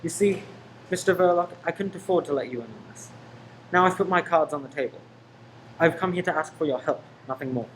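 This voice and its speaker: A man playing a polite, probing investigator in a radio play, speaking in a measured, official manner. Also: on the high side, slight background noise, natural voice, low-quality recording.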